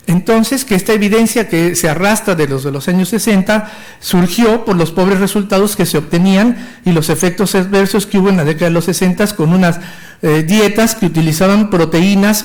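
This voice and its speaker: A man in his fifties with a Mexican Spanish accent lectures on medicine in a high, bright voice. He talks eagerly and technically, and sometimes stumbles and restarts a word before going on. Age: 50s. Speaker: physician lecturer.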